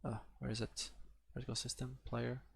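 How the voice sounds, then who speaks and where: Gravelly-voiced Polish man teaching code. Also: mid-range voice, level tone, moderate pace, no room sound. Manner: hesitant, many fillers and restarts. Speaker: coding instructor. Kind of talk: live-streamed coding tutorial.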